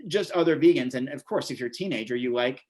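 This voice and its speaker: A man's voice: medium pitch, fast, darkish tone. An American man in his forties telling stories casually as an interview guest, rambling and repeating his words as he goes. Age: 40s. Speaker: interview guest, vegan bodybuilder and author.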